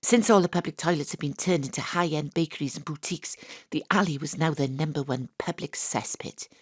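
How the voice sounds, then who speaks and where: A woman's voice: low-pitched, fairly quick, no echo. A woman playing a wry, hard-boiled private detective, narrating her case dryly in a noir audio drama. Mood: wry, gritty. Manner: wry, dry first-person storytelling.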